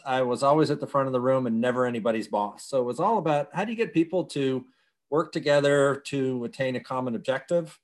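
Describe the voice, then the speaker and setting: A middle-aged man raised in Europe, a company founder talking energetically in long run-on explanations during an interview. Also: medium pitch. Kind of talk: conversational interview.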